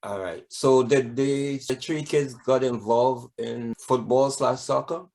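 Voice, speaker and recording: A man's voice: medium pitch, slow, darkish tone. A man hosting an interview, asking friendly, rambling questions full of fillers. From Trinidad. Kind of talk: casual interview.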